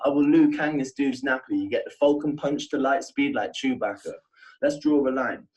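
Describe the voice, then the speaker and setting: A man's voice: mid-range voice, moderate pace, recorded from a distance, rough recording. A man with a southern English accent delivering aggressive, insult-heavy battle rap verses. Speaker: battle rapper.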